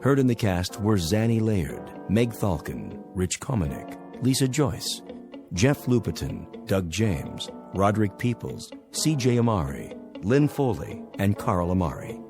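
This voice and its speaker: Male announcer for programme credits, scripted read, slightly deep voice, music underneath. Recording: prominent music bed under voice.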